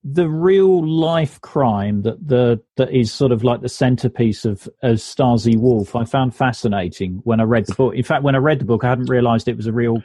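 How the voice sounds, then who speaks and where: A man's voice: medium pitch, normal speed, hardly any pauses, mellow, slightly rough, no room sound. A man hosting a history podcast, steadily linking clips with plain narration and interview questions. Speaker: podcast host.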